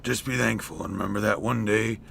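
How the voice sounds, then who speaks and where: Man doing a creaky old vagrant. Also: lowish voice, a little fast, fairly bright tone, a little hiss or hum. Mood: folksy, rambling. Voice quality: creaky, coughing. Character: gruff eccentric old homeless man, time traveler. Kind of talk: comic radio theatre acting.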